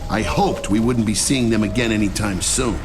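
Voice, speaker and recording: An actor voicing a wry, matter-of-fact army lieutenant narrating events in a video game. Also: male voice, lowish voice, normal speed, over music, close to an everyday voice. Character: cocky, wry male army lieutenant recounting a battle.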